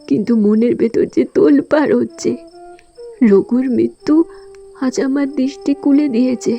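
A woman's voice: high-pitched, gravelly, warm tone, muffled recording, heavily acted. A woman acting an outspoken young married daughter in a sad audio drama, emotional and pleading, speaking with precocious earnestness.

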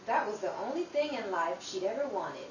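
An earnest youngest sister from a Depression-era radio play, acted by a woman, speaks at a middling pitch like a storyteller. The recording is roomy and off the mic, with clear noise behind her. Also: big pitch swings, a little fast, natural voice. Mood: anxious, fond. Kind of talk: old time radio drama acting. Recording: distant, echoey room with clear background noise.

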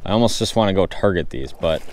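A man's voice: deep voice, animated, quick pace, a little mellow. A man in his thirties gives casual, slangy commentary as he fishes from an open boat on a lake. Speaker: fishing vlogger. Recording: outdoor boat and water noise.

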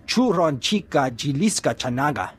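Husky, brusque alien henchman. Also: fairly high pitch, big pitch swings, moderate pace, faint music behind. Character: brusque alien male henchman speaking an invented tongue. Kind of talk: scripted video game acting.

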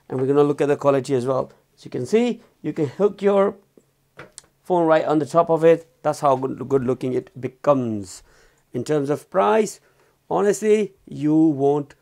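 A man gives a casual product demo in a somewhat high voice. There is a strong creaky fry in it. He speaks informally, with loosely built sentences and repeated phrases. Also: a little mellow. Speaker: product reviewer and presenter. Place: indoors, small room at a desk.

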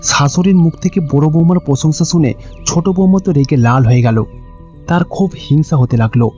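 Bengali guy narrating a cartoon tale, mellow, bit high for a man, music behind. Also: animated, fast talker. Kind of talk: scripted cartoon story narration. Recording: dull, lo-fi audio with music behind.

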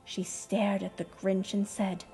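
A woman's voice: mid-range voice, level tone, a little slow, music in the background. A woman narrator giving a theatrical, rhyming storybook reading.

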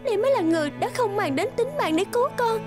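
A very high-pitched girlish princess. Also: expressive, fast. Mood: insistent. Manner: girlish, earnest. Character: sweet, determined young princess. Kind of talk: cartoon fairy-tale acting. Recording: music under the voice.

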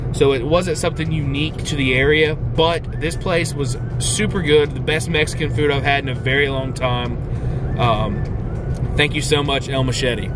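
A man in his thirties vlogging to a handheld camera from a car and a hotel room, telling a rambling, excited story. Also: fairly high pitch, noisy background. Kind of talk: casual vlog. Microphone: handheld camera at arm's length.

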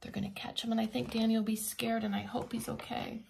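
A woman preschool teacher reading a children's picture book aloud in an expressive, teacherly way with simple asides. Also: fairly high pitch, fast talker, creaky, slight background noise.

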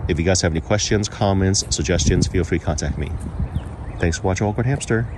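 A very low-pitched man talks through a product review in a plain, casual way. He is recorded outdoors, with heavy background noise around his voice. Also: fast. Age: adult parent of young children. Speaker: product reviewer and channel host. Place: outdoors, patio table and residential sidewalk. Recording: clearly noisy outdoor recording, traffic hum.

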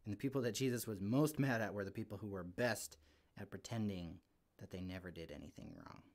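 A man's voice: on the low side, brisk, darkish tone. A man voicing a friendly young puppet kid, speaking casually and earnestly as he gives a devotional for children. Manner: chatty, earnest, informal with frequent "like".

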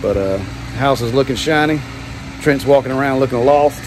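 A man's voice: mid-range voice, normal speed. A man, talking casually to a phone camera about his pressure washing business, chatty and laid-back with lots of "um" and "man". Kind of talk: casual vlog talk to camera. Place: outdoors under a covered patio at a large house. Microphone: phone held at arm's length. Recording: outdoor phone audio with engine noise. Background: pump engine and vehicle noise.

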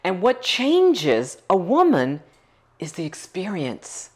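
A middle-aged woman speaks with a low, full voice, pressing her points with passion and emphasis. She sits for a relaxed interview on a living room couch, recorded close on a lapel mic. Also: takes it slowly. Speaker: foundation CEO. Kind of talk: interview conversation.

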